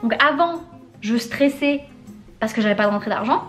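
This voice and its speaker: Young woman from France, casual slangy vlogger with a highish voice. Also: expressive, moderate pace, music in the background. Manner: candid and chatty, with slang and frequent laughs. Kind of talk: casual confessional vlog.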